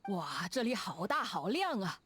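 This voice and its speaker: A woman's voice: medium pitch, very animated, a bit bright. A woman voicing a chatty, cheeky, affectionate young woman in a video game, with a playful colloquial manner. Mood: delighted. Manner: colloquial, playful, drawn-out sentence particles. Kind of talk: game character voice line. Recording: background music behind the voice. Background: music.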